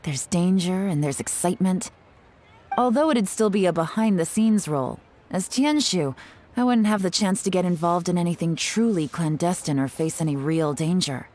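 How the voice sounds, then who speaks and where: A woman's voice: on the low side, normal speed, a little mellow, a little hiss or hum, natural voice. A woman playing a sly, confident secret agent in a video game, poised and playfully knowing. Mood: teasing, assured.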